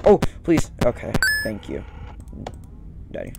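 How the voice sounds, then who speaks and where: A man's voice: mid-range voice, wildly swooping pitch, takes it slowly, creaky, very noisy. A young man giving casual let's-play commentary, muttering to himself and repeating phrases. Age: young adult. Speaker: gaming YouTuber. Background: game music.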